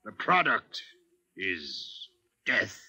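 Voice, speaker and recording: An actor playing a cold, formally polite Martian invader in a 1950s radio drama, measured and menacing, with a gravelly rasp. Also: male voice, lowish voice, wildly swooping pitch, bright, forceful tone, exaggerated voice. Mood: coldly menacing. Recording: dull lo-fi vintage radio recording.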